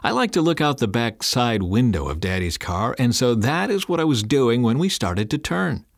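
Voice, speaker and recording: A man's voice: mid-range voice, very animated, moderate pace, gravelly, no room sound, studio quality, voice pushed up. A man voicing a young boy in an audiobook, telling his memory simply and earnestly. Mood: wondering, earnest. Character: young boy earnestly recalling a car crash.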